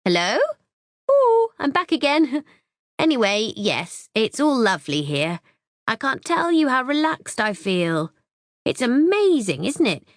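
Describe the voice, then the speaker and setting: A woman's voice: on the high side, wildly swooping pitch, unhurried, no room sound, clean studio sound, pitched above the performer's own voice, heavily acted. A woman voicing a cheerful older mother gushing about her holiday on a long-distance call. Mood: bubbly. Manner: bubbly, gushing.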